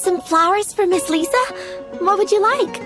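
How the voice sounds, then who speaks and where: An actress playing a sweet, dreamy and easily flustered young flower seller in a video game. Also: female voice, very high-pitched, normal speed, over music. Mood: eager, dreamy. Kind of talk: video game character acting.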